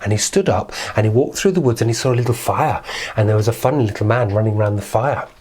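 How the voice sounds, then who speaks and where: A man's voice: on the low side, fast talker, darkish tone, slightly rough. A man telling a children's fairy tale in an informal, improvised, playful way, repeating words for emphasis. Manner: playful, conversational, with emphatic repetition. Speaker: children's storyteller.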